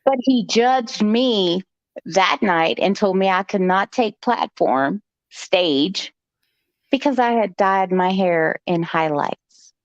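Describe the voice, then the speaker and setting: A woman's voice: mid-range voice, animated, takes it slowly. A woman hosting a live stream, telling a personal story in a chatty, joking way, with frequent "okay" asides. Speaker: host. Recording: dull lo-fi stream audio.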